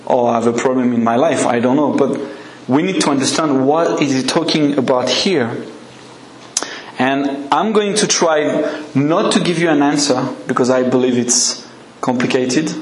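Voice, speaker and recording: A man's voice: mid-range voice, reverberant, slight background noise, poor audio. A man whose first language is French, speaking English with a French accent as a guest preacher, giving a conversational sermon with questions to the congregation. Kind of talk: evening sermon with a live congregation.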